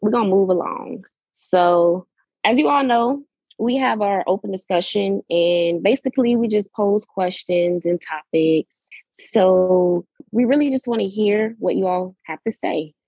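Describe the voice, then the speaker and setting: A woman in her twenties from Florida co-hosting a podcast, upbeat and quick to laugh. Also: mid-range voice, warm tone, husky, no room sound. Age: 20s. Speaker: podcast co-host. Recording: dry, muffled lo-fi sound.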